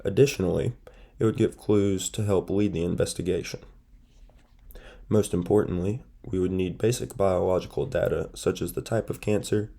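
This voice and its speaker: Young man, nasal, stuffy, mellow dark tone, formal presentation, steady pace. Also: low-pitched, vocal fry. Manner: measured, formal, steady delivery. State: stuffy from a sinus infection. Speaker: student presenter. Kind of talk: read class presentation.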